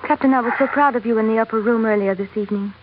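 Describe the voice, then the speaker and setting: A woman's voice: on the high side, racing, natural voice. Woman acting an earnest young sultana, devoted and composed, old radio play, muffled with faint noise. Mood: gracious, composed. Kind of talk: 1940s radio drama acting. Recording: dull, noisy old radio recording.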